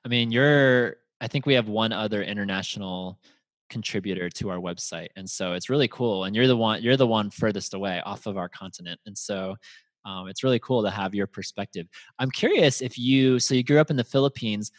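A man's voice: lowish voice, fairly quick, dry recording. A man hosting a casual podcast, upbeat and chatty, laughing often as he talks with a guest over a call. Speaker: podcast host.

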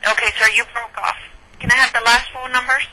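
A woman working as a hotel phone operator, patient and formal, speaking deliberately over a breaking-up telephone line. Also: a little high, level tone, very noisy. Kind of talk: customer service phone call.

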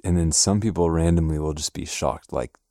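Laid back producer guy, super low voice, dark mellow tone. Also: brisk, no room sound, clean studio sound. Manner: relaxed and self-deprecating. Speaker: music producer, podcast guest. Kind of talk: casual chat.

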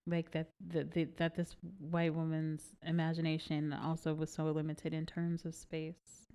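A woman guest discussing a poem in a relaxed conversation, thoughtful and laughing often, with many fillers. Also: low-pitched, measured pace, clear, resonant tone. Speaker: guest poet and scholar.

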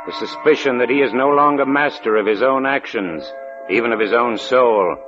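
A muffled vintage radio recording with music behind a male announcer. His mid-pitched voice delivers dramatic scripted narration in a 1940s broadcast style. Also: normal speed.

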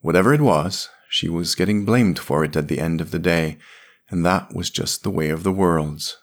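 A man reading scripted fiction as a narrator, in a measured literary storytelling manner. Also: deep voice.

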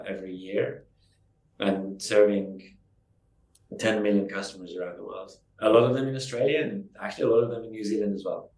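A man from Estonia, a company founder, answering interview questions in a halting way with many restarts. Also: lowish voice, a little mellow, echoey room, rough recording.

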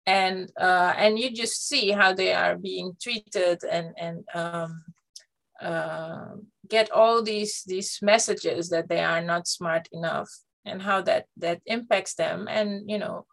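A woman's voice: lowish voice, steady tone, takes it slowly, vocal fry, fairly bright tone, rough recording. Hesitant Dutch-speaking woman. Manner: hesitant, many fillers and restarts. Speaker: panel speaker, parent. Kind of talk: panel discussion talk.

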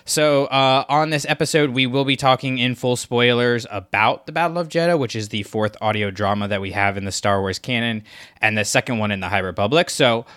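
A man co-hosting a podcast, talking casually with quick, chatty delivery and frequent fillers. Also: mid-range voice, fairly bright tone. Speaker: podcast co-host.

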